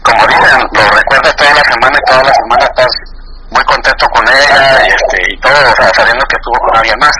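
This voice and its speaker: A man from Mexico City phones in to a radio show, speaking in a Mexican accent at an ordinary pitch. He hesitates and restarts often, padding with fillers. The call comes through a phone line over clear background noise. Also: fast talker. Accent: Mexican Spanish. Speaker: caller.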